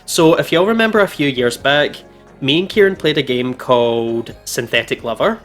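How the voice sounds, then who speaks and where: Male let's play host, informal and excitable, mid-pitched, music playing behind. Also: normal speed. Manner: giggly, excitable, informal with swearing. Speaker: host of a gaming channel. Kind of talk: casual let's play chat. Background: background music.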